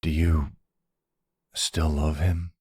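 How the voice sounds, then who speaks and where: A man playing a gentle older mafia man and devoted partner, speaking softly and reassuringly in an intimate roleplay. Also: very deep voice, flat delivery, slow pace, husky, no room sound, studio quality, heavily acted. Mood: soothing, vulnerable. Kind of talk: intimate ASMR boyfriend roleplay.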